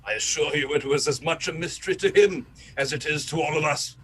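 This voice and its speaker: A man's voice: fairly high pitch, a little fast, a bit bright, low-quality recording. A man acting a grieving colonel of fifty in a radio drama, courteous but curt, with stiff formality. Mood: stiff, dismissive. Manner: stiff, formal, clipped politeness. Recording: clear background noise.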